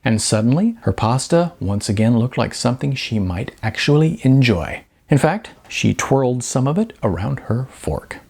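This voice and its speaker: A male audiobook narrator reading a novel with ironic, expressive delivery. His voice is husky and his pitch swings freely. He leans into the wry asides of the story. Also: normal speed, few pauses.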